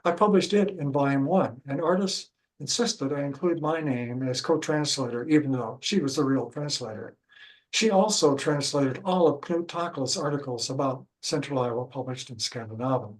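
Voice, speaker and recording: An older man with a Midwestern American accent, a local historian giving a prepared talk in a measured, careful way. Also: mid-range voice, lively intonation, rough recording. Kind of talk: reading a prepared lecture.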